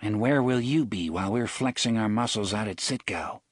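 A man's voice: lowish voice, normal speed, no echo, lightly acted. A terse, blunt outlaw henchman, voiced by a man. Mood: dry. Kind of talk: audiobook character voice.

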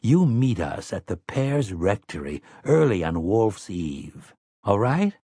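A man's voice: fairly low pitch, sing-song, takes it slowly, warm tone, slightly rough, no room sound, studio quality, exaggerated voice. A man voicing a wily, cheerful grown man who talks casually and conspiratorially in an audiobook. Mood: sly, friendly.

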